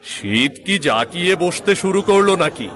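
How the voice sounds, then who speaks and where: A man playing a poor, weary middle-aged woodcutter father in a moral-story cartoon, gentle but proud, with a plaintive, folksy way of talking. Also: sits high, expressive, unhurried. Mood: weary, complaining.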